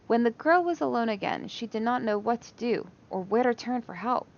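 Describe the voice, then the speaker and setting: A woman's voice: on the high side, animated, a little fast, gravelly. Woman reading a bedtime story, dull sound. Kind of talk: expressive bedtime story read aloud for children.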